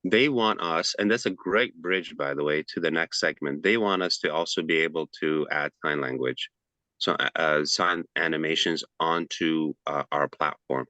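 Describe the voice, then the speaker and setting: A man's voice: extremely low pitch, moderate pace, sharp tone, no echo. A man giving a casual presentation over a video call, chatty and loose, with frequent fillers and restarts.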